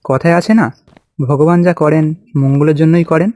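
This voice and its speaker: A man's voice: on the high side, steady tone, fairly quick, mellow, no room sound, lightly acted. A man voicing a hardworking village farmer in a cartoon, with a folksy, exclamatory way of talking. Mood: relieved, musing. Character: hardworking village farmer husband, hopeful and plain-spoken. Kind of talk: cartoon voice acting.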